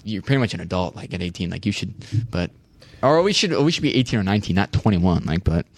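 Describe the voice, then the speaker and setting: A man's voice: sits low, very animated, fast talker, no echo. A teenage man co-hosting a casual sports chat, talking loosely with lots of "like" and repeated words. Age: teens. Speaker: podcast co-host.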